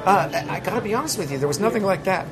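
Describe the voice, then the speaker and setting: A man's voice: high-pitched, lively intonation, rapid-fire, a loud crowd. A man with an American accent, a touring musician chatting candidly in an interview and laughing often. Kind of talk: casual interview chat.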